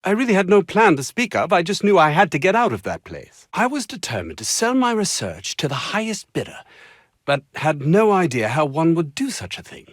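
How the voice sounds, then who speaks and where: An actor playing a haughty, anxious, downtrodden scientist in a video game, husky and speaking in formal, wordy, theatrical phrases. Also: male voice, on the high side, very animated, brisk, no room sound, clean studio sound. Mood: reflective. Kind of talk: video game character dialogue.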